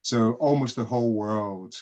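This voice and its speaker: A Scottish man, a guest author speaking in a measured, matter-of-fact way in a casual interview. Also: medium pitch, mellow, lo-fi sound. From Greenock, Scotland.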